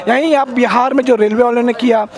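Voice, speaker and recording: High-voiced man speaking at a protest. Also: steady tone, a little fast. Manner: earnest, argues point by point. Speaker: protest spokesman. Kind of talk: interview answer at a protest. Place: outdoor protest in the city. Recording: crowd noise around.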